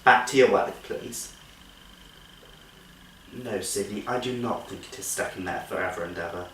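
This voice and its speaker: A man's voice: lowish voice, moderate pace, fairly bright tone, slight background noise. A man performing a comic stage monologue as a genteel female nursery teacher, politely coaxing and scolding with strained cheer. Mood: firm, exasperated. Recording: echoey room, distant microphone.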